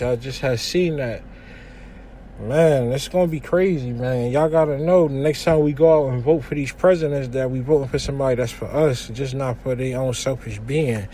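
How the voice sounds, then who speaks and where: A man's voice: mid-range voice, moderate pace, slight background noise. Laid-back slangy young podcast man. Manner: laid-back slangy talk with frequent shout-outs. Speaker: podcast host. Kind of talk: casual solo news commentary. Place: kitchen.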